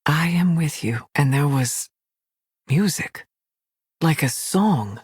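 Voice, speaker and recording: A woman playing a devout, regal elven leader in a fantasy game, speaking with measured, earnest weight. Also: low-pitched, lively intonation, darkish tone, husky, no echo, clean studio sound, heavily acted. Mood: quiet awe. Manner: slow, measured, earnest oration.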